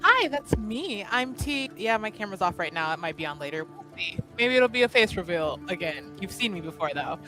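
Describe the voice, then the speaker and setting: A woman's voice: mid-range voice, big pitch swings, brisk, hardly any pauses, sharp tone. A woman introducing herself casually as a tabletop game player, wry and informal. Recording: music behind the voice. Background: music.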